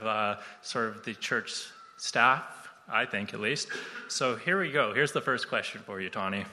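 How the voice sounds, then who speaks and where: A man's voice: medium pitch, pushed and bright. A man hosting a casual church interview on a handheld mic in a sanctuary, upbeat and joking. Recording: long hall reverb in a church.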